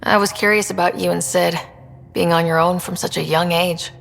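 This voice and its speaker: A woman's voice: lowish voice, steady tone, moderate pace, creaky, a bit bright, big-room sound. A woman playing a young, easygoing space explorer leader in a video game, casual and inquisitive. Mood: curious. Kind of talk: video game dialogue acting.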